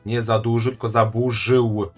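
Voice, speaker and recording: A man's voice: medium pitch, little pitch movement, moderate pace, soft background music. A man live-streaming his reaction to a video, chatting and joking with his viewers. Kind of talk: casual live-stream commentary.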